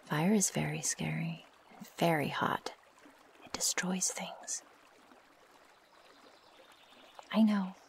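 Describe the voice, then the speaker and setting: A woman voicing a wary, possessive young female werewolf in an intimate ASMR roleplay, breathy and half-whispered, with halting, formal phrasing. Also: fairly low pitch, slight background noise. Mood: wary, reluctant. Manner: halting, stiffly formal phrasing without contractions.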